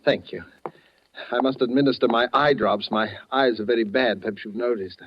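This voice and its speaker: An actor playing a sinister, money-hungry gentleman with over-polite, insinuating manners, in 1940s radio suspense style. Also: medium pitch, steady tone, moderate pace, slight background noise, close to an everyday voice. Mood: smooth, confiding. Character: sinister, courteous, money-hungry man with weak eyes, holding a gun. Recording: dull vintage 1940s radio recording.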